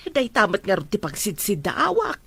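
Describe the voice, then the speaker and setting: A woman acts out a nagging farm mother in a homemade Ilocano-accented family drama. She is firm and insistent, with a bright, forceful voice at medium pitch, recorded dry. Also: wildly swooping pitch, normal speed, gravelly, breathy edge, no room sound, heavily acted. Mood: complaining. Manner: insistent, scolding. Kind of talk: amateur family drama acting.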